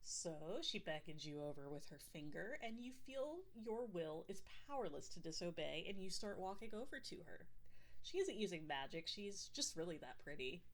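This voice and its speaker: Woman playing clingy yandere girlfriend, slightly low voice, game master narration, silly, insistent, close-miked ASMR roleplay. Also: very animated, moderate pace. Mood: playful, smitten narrating. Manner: rambling, defensive asides, drifting into whispers. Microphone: close binaural microphone.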